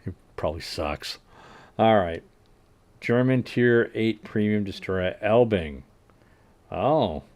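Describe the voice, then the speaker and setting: A man hosting a livestream, casually reading game update notes aloud and adding wry asides that trail off quietly. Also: on the low side, lively intonation, takes it slowly, pauses often. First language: English. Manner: frequent fillers and restarts while working out numbers. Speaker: livestream host.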